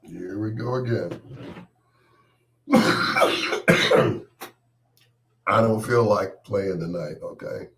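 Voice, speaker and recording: A man hosting an on-air monologue, talking with a cold and a partly lost voice, irritated and dismissive, his words often trailing off quietly. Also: fairly low pitch, very slow, pauses often, noisy background. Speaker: host.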